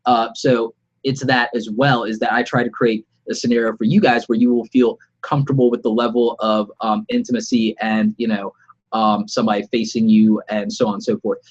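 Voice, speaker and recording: A man's voice: fairly low pitch, level tone, brisk, lo-fi sound. A man with a head cold, sounding congested and a little sore-throated, giving upbeat conversational advice in an unscripted live-stream vlog from a room at home, on a webcam about arm's length away. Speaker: vlogger. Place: home studio room, alone.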